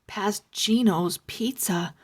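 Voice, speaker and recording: A woman reading a children's story aloud as narrator, expressive and full of suspense. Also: medium pitch, slow, breathy edge. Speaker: storybook reader.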